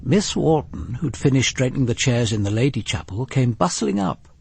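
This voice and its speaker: A man's voice: mid-range voice, animated, normal speed, vocal fry, a little mellow, breathy edge, no room sound, heavily acted. An actor narrating a scripted detective story in the first person as a reflective, weary policeman.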